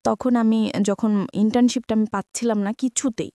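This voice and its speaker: A woman's voice: a little high, fairly even intonation, brisk, warm tone, no echo, studio quality. A young woman with a Bangladeshi accent telling her own life story conversationally, relaying remembered dialogue as she goes. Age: young adult.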